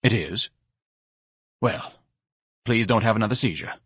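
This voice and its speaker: Man as gruff, short-tempered boss. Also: lowish voice, expressive, measured pace, fairly bright tone, no room sound, studio quality, full character voice. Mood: grudging, impatient. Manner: gruff, blunt, exasperated. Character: gruff, short-tempered hot dog vendor boss, male.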